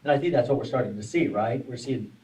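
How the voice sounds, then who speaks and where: A man's voice: medium pitch, fast, darkish tone. A man on a panel, explaining at length and often restarting his phrases. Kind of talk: panel discussion. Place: conference room at a forum. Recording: distant room mic.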